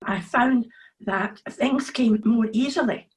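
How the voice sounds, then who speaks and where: A woman's voice: fairly high pitch, slow. A woman with a Scottish accent, chatting casually as an author being interviewed.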